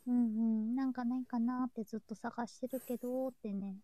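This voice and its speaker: A woman's voice: a little high, fairly even intonation, brisk, warm tone, no echo, poor audio. A woman hosting a live show in casual, unscripted chat.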